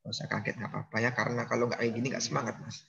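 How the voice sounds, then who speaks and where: A man's voice: mid-range voice, steady tone, very fast, gravelly, a bit bright, highs cut off. A man giving an online math lecture, teasing his students and joking in mock regional accents. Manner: playful, teasing, jokes with students. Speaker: university lecturer.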